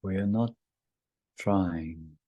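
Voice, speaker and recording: Very deep, slow male meditation guide. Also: dry recording, highs cut off. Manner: gentle, halting phrases with long pauses.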